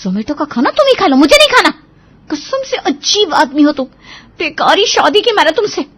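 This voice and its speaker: A woman's voice: very high-pitched, fairly quick, pushed and bright, voice pushed up. A woman voicing an angry, demanding young wife who scolds and complains in a dramatised audio story. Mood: furious.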